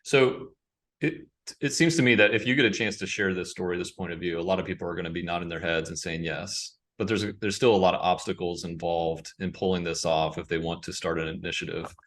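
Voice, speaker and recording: A man hosting an interview, chatting in a relaxed, conversational way. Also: low voice, a little fast. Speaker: podcast host. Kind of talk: casual interview questions.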